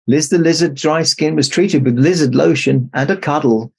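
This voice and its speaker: A man narrating a children's story aloud as an expressive storybook reader. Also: a little high, normal speed, vocal fry, husky. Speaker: storybook reader in Santa persona.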